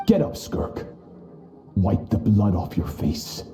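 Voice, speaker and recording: Brief: a ruthless master swordsman, very deep and dark in tone, slow and deliberate, with a roomy echo and music underneath. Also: big pitch swings. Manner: cold, measured, menacing lecturing. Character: stern, merciless older male sword master. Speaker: game character. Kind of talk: video game cutscene voice acting.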